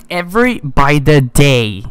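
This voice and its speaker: A man's voice: fairly high pitch, big pitch swings, takes it slowly, clean tone. A teenage male gamer telling a casual, rambling story in an exasperated, chuckling way. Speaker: video game YouTuber.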